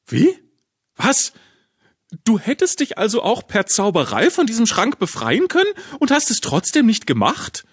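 A man's voice: high-pitched, wildly swooping pitch, normal speed, breathy edge, no echo, clean studio sound, full character voice. A man playing a vain, bossy, greedy robot knight in a children's radio play, pompous and demanding. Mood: puzzled, sceptical.

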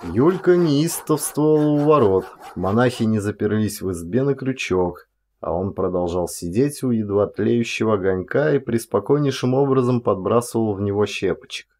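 A man's voice: lowish voice, expressive, moderate pace, dark tone. A man reading an audiobook as an actor, in dramatic scripted storytelling.

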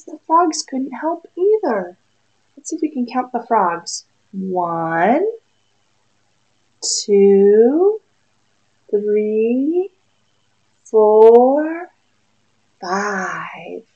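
Very slow, expressive woman reading to children. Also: medium pitch, muffled recording. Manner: expressive, sing-song, addressing young children. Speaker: preschool teacher. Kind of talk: children's picture book read-aloud. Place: small room indoors.

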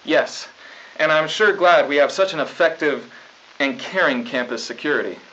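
A young man playing an earnest, self-important high school student president, reading stiff, formal mock news at a desk. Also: expressive, normal speed, sharp tone. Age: young adult. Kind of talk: scripted mock 1990s school news broadcast. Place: small studio news desk. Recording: dull lo-fi 1990s videotape.

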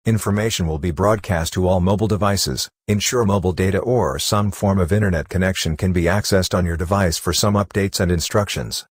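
A man's voice: deep voice, a little fast, hardly any pauses, no echo, clean studio sound. A man reading a scripted emergency broadcast announcement.

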